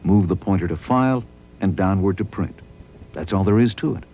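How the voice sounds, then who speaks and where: A man reading scripted promotional training narration in a measured, instructional way. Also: low-pitched, lo-fi sound, a little hiss or hum.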